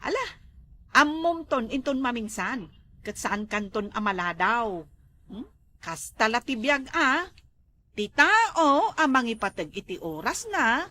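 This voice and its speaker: An actress as a chirpy village gossip with an Ilocano accent. Her voice is high and bright, and she talks in a giggly, teasing, boastful way, as in a neighbourhood comedy drama. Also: very animated, measured pace, exaggerated voice. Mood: teasing, advising.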